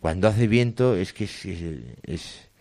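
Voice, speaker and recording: A man co-hosting a casual literature chat, reading lines aloud and musing on them, often trailing off quietly. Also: lowish voice, measured pace, dry recording. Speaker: co-host discussing poetry.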